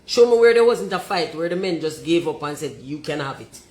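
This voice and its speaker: A Jamaican woman with a deep voice, talking forthrightly and emphatically and slipping into patois. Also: lively intonation, a little fast, a little mellow, roomy sound. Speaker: commentator.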